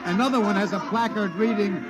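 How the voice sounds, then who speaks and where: A man's voice: high voice, fairly quick, music in the background, crowd noise, lightly acted. An American actor playing an earnest male radio reporter giving live street commentary in a 1950s radio drama, addressing listeners formally. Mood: excited. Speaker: announcer. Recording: noisy old 1950s radio recording.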